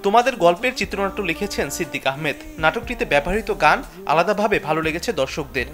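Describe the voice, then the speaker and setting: High-pitched male TV news reporter. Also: animated, quick pace. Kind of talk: scripted news narration. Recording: background music under voice-over. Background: music.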